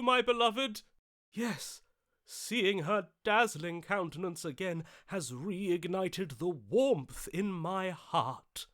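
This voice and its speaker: An actor voicing a grandiose, lovesick romantic hero in a video game, with florid, theatrical, archaic declamation. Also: male voice, a little high, fairly bright tone, no echo, clean studio sound. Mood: rapturous adoration.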